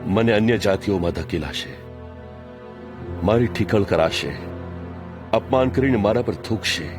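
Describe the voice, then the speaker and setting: An actor playing a solemn, authoritative teacher and prophet in a scripture audio drama, speaking measured Kathiyawadi Gujarati. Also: male voice, fairly low pitch, animated. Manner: measured, declamatory. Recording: music behind the voice.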